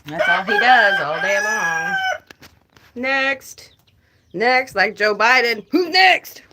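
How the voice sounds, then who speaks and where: Woman streaming from her phone outdoors by the coop, slow easy talk, kinda flat voice, chickens faint in back. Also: fairly high pitch, slight background noise. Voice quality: calm, disinterested-sounding voice. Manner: chatty, laughs often, talks to her birds. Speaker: backyard chicken keeper streaming live. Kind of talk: casual live-stream chat. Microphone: phone held in hand.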